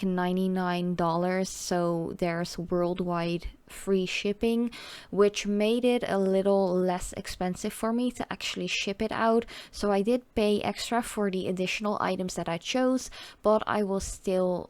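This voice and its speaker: A Dutch woman around thirty, speaking English with a Dutch accent and reviewing nail polish in a relaxed, chatty way full of "like" and "you know." Her voice sits at a middle pitch for a woman. It is recorded close up in a dry room with no echo. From Netherlands. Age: 30s. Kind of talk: casual product review.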